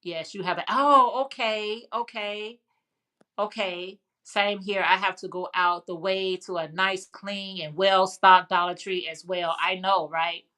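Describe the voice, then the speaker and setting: A woman's voice: medium pitch, fairly even intonation, a little slow, sharp tone. A woman with a Chicago accent hosting a casual live chat, rambling and answering viewer comments. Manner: chatty, rambling, frequent fillers and restarts. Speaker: livestream host.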